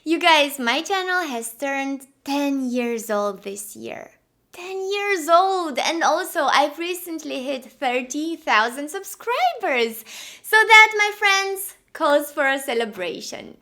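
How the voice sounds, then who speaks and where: A Romanian woman in her thirties speaks with a high, bright voice. She narrates her teaching channel's story in a cheerful, grateful way. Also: animated, takes it slowly. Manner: cheerful and grateful, upbeat delivery. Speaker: language teacher and channel host. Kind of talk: anniversary video narration.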